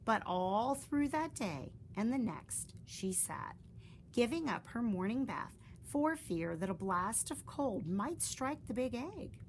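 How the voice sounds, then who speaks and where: Expressive storybook reader, woman, lively pitch, light background noise. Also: rough recording. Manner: expressive storybook reading with slow, drawn-out phrases. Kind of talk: children's story read aloud.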